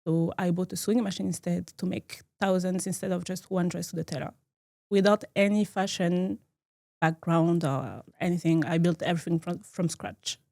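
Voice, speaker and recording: A woman, a fashion designer speaking as a guest in a casual interview, earnest and quick to laugh. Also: on the low side, fairly even intonation, vocal fry, a little mellow, dry recording, clean studio sound. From France. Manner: earnest, laughs easily, small grammar slips.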